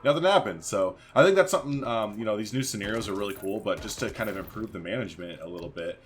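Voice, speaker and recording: A man's voice: lowish voice, lively intonation, fast talker, noisy background. A man hosting a gaming video, giving casual, slangy let's play commentary and thinking aloud.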